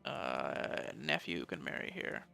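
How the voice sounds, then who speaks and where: A man's voice: low-pitched, very animated, takes it slowly, gravelly, pushed and bright, faint music behind. A man giving casual let's play commentary, thinking aloud and reading bits of game text, with some mild swearing.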